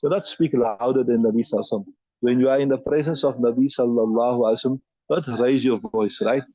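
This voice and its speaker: A man teaching a hadith class at a brisk, rapid pace. He reads each line and then explains it plainly. The sound is dry and close, but dull and muffled. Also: mid-range voice, fairly even intonation, mellow, no room sound.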